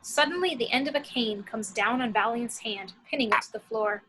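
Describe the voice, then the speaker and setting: A woman's voice: a little high, a little fast, bright, forceful tone, a little hiss or hum, poor audio. A woman reading the action descriptions of a screenplay as a scripted narrator.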